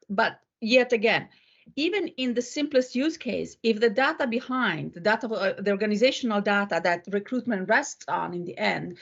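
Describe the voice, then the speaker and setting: A woman's voice: medium pitch, highs cut off. A woman hosting a conversational panel discussion and giving her own views. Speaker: interviewer, panel host.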